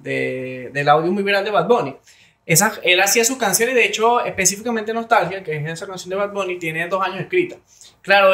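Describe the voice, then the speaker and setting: A young Venezuelan man co-hosting a casual podcast chat, animated, talking into a studio mic in a small room. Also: sits high. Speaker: podcast co-host. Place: small room with blue curtain. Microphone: studio mic on boom arm.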